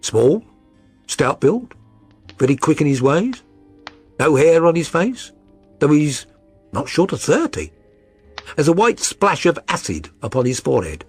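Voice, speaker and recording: Man acting a husky, earnest, swooping tradesman. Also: a little high, big pitch swings, measured pace, long pauses, faint music behind, full character voice. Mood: obliging, matter-of-fact. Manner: rambling, deferential storytelling. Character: middle-aged tradesman client, earnest, plodding, aggrieved, deferential. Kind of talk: audiobook character reading.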